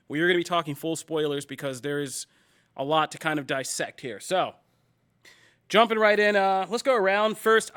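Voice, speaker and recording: A male podcast host with a bright, forceful voice and a clean, crisp tone. He talks energetically and casually, filling his sentences with "like" and "I mean" as he jokes around with his co-hosts. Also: sits high. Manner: energetic, joking, lots of fillers like "like" and "I mean". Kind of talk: casual panel chat.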